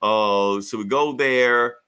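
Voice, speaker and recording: A man hosting a podcast, retelling comic book stories in casual, profane slang with animated delivery. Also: mid-range voice, takes it slowly, smooth. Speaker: podcast host.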